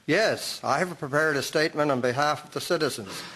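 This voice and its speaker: A man playing a solemn, dignified small-town mayor in a radio drama, speaking formally and with measured delivery. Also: a little high, sharp tone, slight background noise, poor audio. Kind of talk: amateur radio drama acting.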